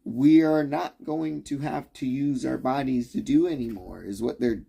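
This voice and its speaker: Man streamer, fried low rasp, mellow dark tone, swearing and rambling, keeps cutting himself off and needling the audience. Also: a little slow. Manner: profane, rambling, restarts sentences often; conspiratorial, challenging the listener. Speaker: livestreamer. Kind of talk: rambling livestream rant.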